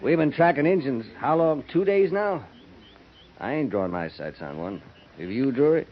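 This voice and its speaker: Weathered American trooper, a male actor's role, hesitant, rambling, quietly troubled, muffled vintage radio drama recording. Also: medium pitch, expressive, normal speed, natural voice. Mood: grim, boastful. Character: older veteran cavalryman, folksy, brooding, quietly troubled. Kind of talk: 1950s American radio western acting. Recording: dull, noisy 1950s radio transcription.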